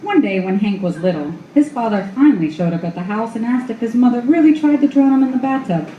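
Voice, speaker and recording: A woman's voice: a little high, expressive, fast, phone-quality sound, lightly acted. A woman performing as the narrator of a noir radio play, telling it in clipped, vivid, dramatic phrases. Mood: stark, dramatic. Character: stark female noir storyteller. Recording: echoey live room with clear background noise.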